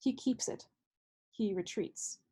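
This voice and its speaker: A woman's voice: mid-range voice, takes it slowly, mellow, slightly rough. A woman reading stage directions as scripted narration for a play.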